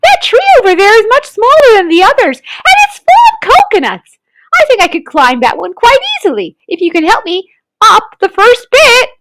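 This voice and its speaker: A woman voicing an eager little child, excited and fast-talking. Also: very high voice, dry recording, pitched above the performer's own voice, heavily acted, rough recording.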